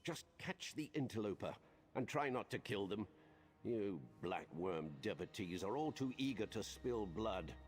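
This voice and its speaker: An actor playing a haughty, impatient, suspicious prince in a video game, speaking in formal, imperious phrases. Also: male voice, lowish voice, lively intonation, a little slow. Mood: suspicious, commanding. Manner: imperious, disdainful, formal diction. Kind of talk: scripted video game dialogue.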